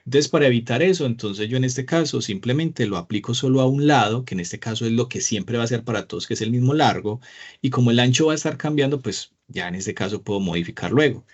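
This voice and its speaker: Encouraging teacher, slightly dull sound. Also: male voice, mid-range voice, animated, quick pace. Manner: patient, encouraging, asks the class questions. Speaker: Excel teacher. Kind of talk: live online class with screen share. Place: small room indoors.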